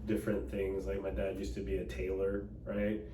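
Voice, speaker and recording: Low, flat-voiced man in an echoey room. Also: moderate pace. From Dallas, Texas. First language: Cantonese. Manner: relaxed, conversational, frequent fillers. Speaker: political candidate and attorney. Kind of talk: casual interview conversation. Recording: echoey room, distant mic, background noise.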